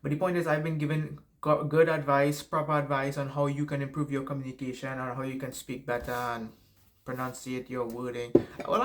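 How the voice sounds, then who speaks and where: Young man, Trinidadian accent, casual vlog advice, rambling, profane, medium pitch. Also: background noise. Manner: rambling, profane, frequent "right?" tags. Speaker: self-help vlogger. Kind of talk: casual advice monologue to camera.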